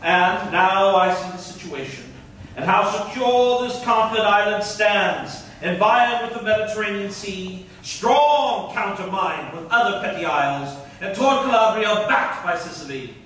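A man's voice: high-pitched, expressive, unhurried, fairly bright tone, recorded from a distance. A man acting a proud conquering prince, declaiming grand Elizabethan verse on a stage. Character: proud Turkish conqueror surveying his captured island. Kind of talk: theatrical stage reading of Elizabethan drama. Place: concert hall stage, far camera. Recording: echoey hall from afar, faint noise.

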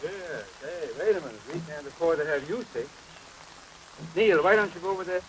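A man's voice: a little high, animated, a little fast, close to an everyday voice. A man acting a kindly, persistent, fatherly companion in a 1930s horror film, coaxing and repeating himself. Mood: genial, coaxing. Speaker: film actor. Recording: noisy 1930s film soundtrack under rain. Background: rain storm.

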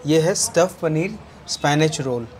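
A man's voice: fairly high pitch, a little slow, noisy background. A man co-hosting a hotel vlog, upbeat and casual, tasting food for viewers. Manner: upbeat, mixes English phrases. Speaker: travel vlogger co-host. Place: hotel restaurant and balcony.

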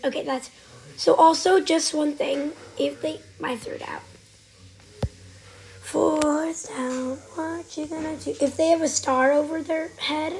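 A woman with a cold giving casual, excitable commentary while playing a game, sometimes dropping to a whisper. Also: sits high, vocal fry, poor audio. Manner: chatty, excitable, trailing off into whispers. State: has a cold. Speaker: gaming channel host. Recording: faint background noise.

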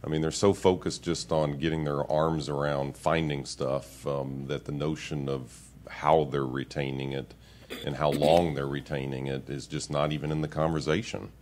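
A man speaking as a consultant on a conference panel, candid and quick, with chuckling asides. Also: a bass voice, little pitch movement, a little mellow. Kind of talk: panel discussion remarks.

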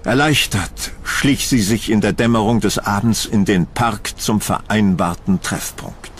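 A man narrating a scripted horror audio drama in a suspenseful, deliberate storytelling manner. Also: on the low side, slight background noise.

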